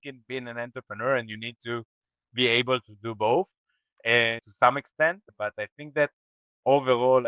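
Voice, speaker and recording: A man's voice: mid-range voice, fairly bright tone, smooth, dry recording, low-quality recording. A man from Israel, a startup founder, answering interview questions in a thoughtful, reflective way. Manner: thoughtful, reflective, with frequent restarts. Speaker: startup CEO guest. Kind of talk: podcast interview.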